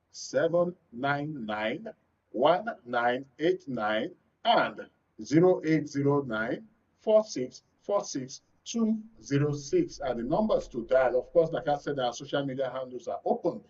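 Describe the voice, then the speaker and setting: A man's voice: mid-range voice, a little mellow. A Nigerian man hosting a live radio phone-in, presenting to listeners and callers. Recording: dull studio sound, slightly distant.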